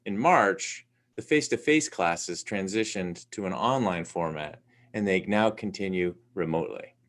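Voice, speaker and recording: A man, a board member, giving scripted narration for a community awards video in a measured, formal way. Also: sits low, expressive, a bit bright. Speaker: board member presenting the celebration.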